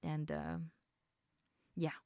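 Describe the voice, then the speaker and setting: A woman voicing an affectionate, teasing half-snake lamia in a casual, intimate audio roleplay, chatting informally with halting, trailing thoughts. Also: darkish tone, no echo, studio quality. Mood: casual, hesitant.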